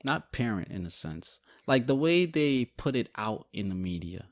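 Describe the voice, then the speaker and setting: A man's voice: medium pitch, sing-song, moderate pace, no room sound, clean studio sound. A young man from Guyana co-hosting a podcast, blunt and joking as he poses questions in casual chat. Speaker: podcast co-host.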